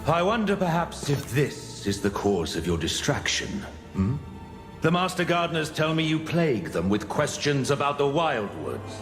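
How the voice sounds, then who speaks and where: A man's voice: fairly high pitch, sing-song, normal speed. A man playing a cold, haughty king in a dramatic game story, pompous and imperious, with echo and music. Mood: imperious, disapproving. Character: haughty, cold king addressing his seneschal. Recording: echoey, loud music underneath.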